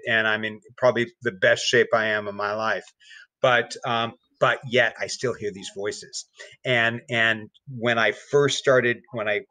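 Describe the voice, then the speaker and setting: Halting, bright-voiced man. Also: medium pitch, dry recording, poor audio. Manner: halting, with many repeated words and restarts. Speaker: podcast guest. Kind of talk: personal account in casual conversation.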